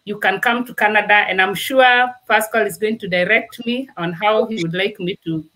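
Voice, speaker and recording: A woman's voice: medium pitch, moderate pace, background noise. A woman from Kenya, a nurse answering interview questions earnestly. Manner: earnest, talks with vivid everyday comparisons. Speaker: nurse and guest.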